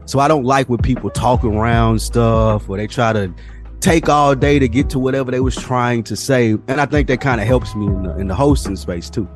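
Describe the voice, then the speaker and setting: A man's voice: medium pitch, a little fast, soft background music. A young man co-hosting a podcast in casual chat, blunt and direct, with a lot of slang. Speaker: podcast co-host.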